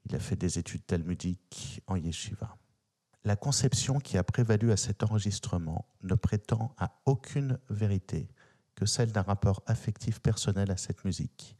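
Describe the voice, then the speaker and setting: A man hosting a radio show, reading liner notes and a quoted text in a measured, deliberate way. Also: low voice, mellow. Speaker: radio host. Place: radio studio.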